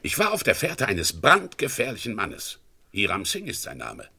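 A blustering, self-assured Scotland Yard inspector in an old radio comedy. His voice is sharp and husky, and it swings widely up and down. He sounds pompous and off-hand. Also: fairly low pitch, wildly swooping pitch, moderate pace, full character voice. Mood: self-important. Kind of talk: mid-century German crime radio comedy acting.

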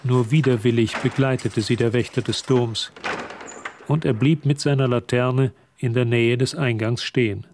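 A man's voice: medium pitch, steady tone, moderate pace, natural voice. A man reading scripted narration for a radio drama, telling the story as narrator. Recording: clear ambient noise behind voice.

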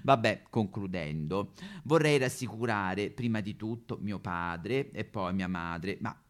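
An actor playing a dreamy, flamboyant son in a theatrical comic monologue, effusive and poetic. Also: male voice, lowish voice, expressive, unhurried. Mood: earnest, reassuring. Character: dreamy, flamboyant son confessing to his father.

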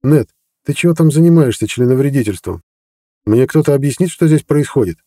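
A man reading an audiobook voicing a hot-tempered male warrior who demands answers loudly. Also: mid-range voice, expressive, a little fast, no room sound, studio quality, voice pushed up, natural voice. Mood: demanding. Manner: agitated, loud, demanding.

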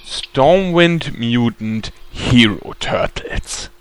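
A man's voice: mid-range voice, lively intonation, extremely slow, clear, resonant tone. A man playing a dry, self-serving rogue in a comic audio drama. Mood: opinionated.

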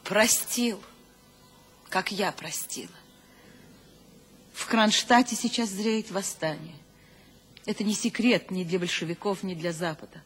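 A woman's voice: medium pitch, unhurried, long pauses, a little hiss or hum, lightly acted. An actress playing a solemn, commanding female poet and conspirator, grave and urgent, in a radio drama. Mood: solemn, forgiving. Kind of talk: theatrical radio drama acting. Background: music.

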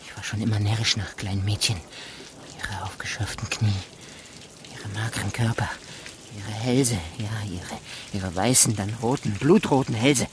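An actor playing a creepy, self-justifying gamekeeper and killer in a radio drama, halting and lingering on words. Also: male voice, lowish voice, steady tone, noisy background, lightly acted. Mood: obsessive, unrepentant.

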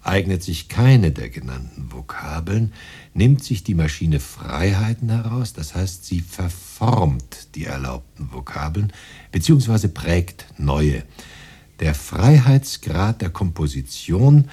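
An actor playing a modest, eager and fussy poet in a German radio drama, husky and musing, muttering to himself. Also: male voice, deep voice, sing-song, slow, dark tone, exaggerated voice. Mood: explanatory. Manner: musing, hesitant, muttering as he reads.

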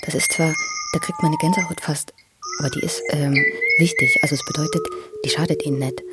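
A person, speaking haltingly and emotionally in a breathy, creaky voice that often drops to a whisper, repeating words and searching for the next one. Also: medium pitch, huge pitch swings, over loud music.

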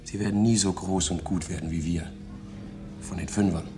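A man's voice: low voice, fairly even intonation, moderate pace, music in the background. An actor playing a smug young male slave in a science fiction radio play, speaking in an emphatic, self-important way. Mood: smug, boastful. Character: boastful young male slave, smug about his superior generation.

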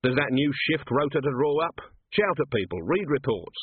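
Man playing a terse older commander, husky voice. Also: mid-range voice, sing-song, normal speed, no room sound, narrow, tinny audio, voice pushed up. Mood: brisk, commanding. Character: gruff, sardonic older police commander.

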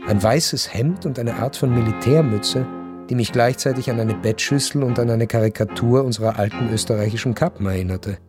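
A world-weary, melancholy baron, played by a man narrating a radio drama. His middle-register voice is measured and sombre, with music clearly behind it. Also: medium pitch, natural voice. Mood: melancholy, detached. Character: melancholy, detached baron recounting his decline. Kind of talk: literary first-person narration in radio drama.

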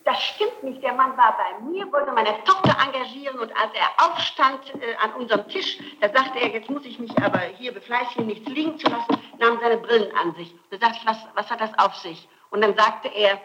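A woman's voice: on the high side, normal speed, few pauses, background noise. A middle-aged woman under interrogation, answering with insistent, emphatic and repeated denials on an archival tape. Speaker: suspect being questioned by police and prosecutors. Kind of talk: interrogation testimony, spontaneous speech.

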